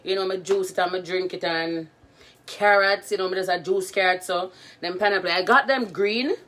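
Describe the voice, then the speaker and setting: A woman's voice: fairly low pitch, normal speed, a bit bright. A woman hosting a casual cooking livestream from her home kitchen, chatting playfully with her viewers. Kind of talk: casual live cooking chat.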